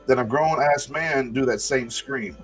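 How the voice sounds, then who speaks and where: A man in his forties hosting a casual music chat show, animated and swearing freely, often repeating words as he talks. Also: mid-range voice, measured pace. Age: 40s. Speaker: show host.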